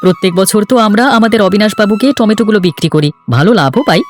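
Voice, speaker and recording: A man plays a good-natured village farmer in a cartoon fairy tale. He talks quickly and reasonably to his wife, with clear music behind him. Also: sits high, lightly acted. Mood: content, reasonable. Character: easygoing, hardworking male village farmer, a husband.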